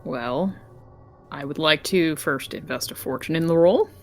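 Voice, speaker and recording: A man with a slightly high voice chats casually at a game table. He speaks as a player in a tabletop role-playing game, with faint music in the background. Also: measured pace.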